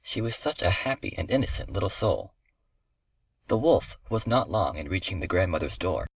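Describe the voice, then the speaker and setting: A man's voice: sits low, big pitch swings, normal speed, breathy edge, low-quality recording. A man reading a scripted fairy tale for children as a storyteller.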